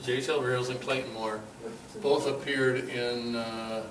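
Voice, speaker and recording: Casting: older male presenter, conversational and halting, middle register, echoey meeting room recording. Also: medium pitch, steady tone, fairly bright tone. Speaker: speaker giving a club talk. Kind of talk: informal talk to audience. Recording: echoey noisy room, distant mic.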